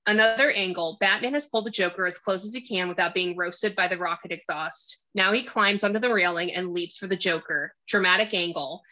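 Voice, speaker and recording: Bright, forceful female narrator. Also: lowish voice, fairly quick, hardly any pauses, rough recording. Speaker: narrator reading stage directions. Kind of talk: scripted screenplay narration.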